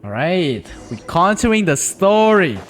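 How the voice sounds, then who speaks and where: Ein Mann kommentiert locker und verspielt seine Live-Spielsitzung, mit Spielmusik dahinter. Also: male voice, high-pitched, a little slow. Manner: relaxed, playful reactions. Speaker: streamer. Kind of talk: casual live game commentary. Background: game music.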